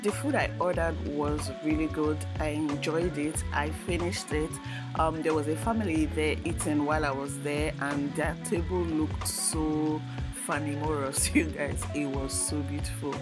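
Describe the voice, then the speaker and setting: A woman's voice: deep voice, fairly even intonation, normal speed. A woman with a Nigerian accent, chatting informally as she narrates a casual vlog. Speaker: vlogger, host. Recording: loud background music. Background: music.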